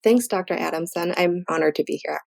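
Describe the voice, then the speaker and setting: A woman's voice: lowish voice, fairly quick, gravelly, darkish tone. A woman answering interview questions as a guest expert, explaining things in an informal, conversational way. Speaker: guest, physician and researcher.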